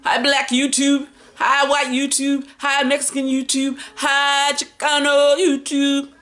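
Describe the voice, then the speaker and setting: An American woman in her fifties talking casually as a book vlogger, chatty and animated, wandering off on tangents and drawing out words for emphasis. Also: high-pitched, slow pace. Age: 50s. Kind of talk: casual rambling chat to camera.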